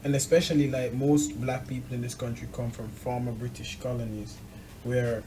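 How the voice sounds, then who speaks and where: A man hosting a conversational discussion, probing and measured, building his points in short phrases. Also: mid-range voice, flat delivery, dark tone, a little hiss or hum, rough recording. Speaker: interviewer, host.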